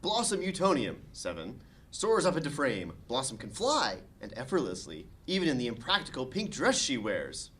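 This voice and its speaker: A man's voice: mid-range voice, wildly swooping pitch, normal speed, fairly bright tone. A man reading stage directions and narration aloud as the narrator of a comedy script table read.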